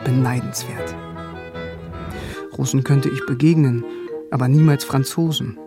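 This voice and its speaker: An actor playing a bookish, quietly stubborn young conscript soldier who tells his own story in a radio drama. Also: medium pitch, steady tone, measured pace, lightly acted. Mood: wry, reflective. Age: young adult. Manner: wry and reflective, nervous before officers. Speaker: narrator and lead character. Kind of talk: first-person radio drama narration and dialogue. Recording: music under the voice. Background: music.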